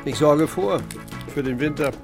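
A man playing a kindly older pigeon keeper in a children's radio play, gravelly and patient as he explains things. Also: a little high, normal speed, over music. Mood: friendly, conspiratorial.

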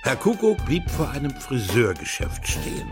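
A man narrating a children's radio play as an expressive storyteller. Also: a little high, normal speed, over music.

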